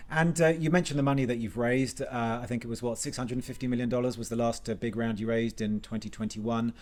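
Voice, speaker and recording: A man hosting a video interview, asking his questions in a quick, conversational way with some hesitations. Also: medium pitch, steady tone, a little mellow. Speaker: interview host.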